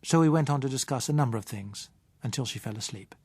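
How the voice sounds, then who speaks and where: A British man narrates a first-person novel. His voice is mid-pitched and his delivery is measured and literary. The recording is dry, with very little room around the voice. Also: a little mellow, breathy edge, dry recording. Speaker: audiobook narrator.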